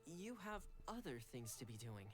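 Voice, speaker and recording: Man playing an easygoing young retainer, lively intonation. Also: medium pitch, normal speed, soft background music, lightly acted. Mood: earnest. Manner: casual, amiable. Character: easygoing, friendly young male retainer of a noble house. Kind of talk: anime game voice acting.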